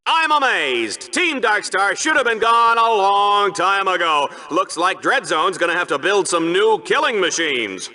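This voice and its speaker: An actor playing a slick, goofy, over-excited male sports announcer in a video game, showy and theatrical in his commentary. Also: high-pitched, measured pace, hardly any pauses, heavily acted. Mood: showy, gleeful.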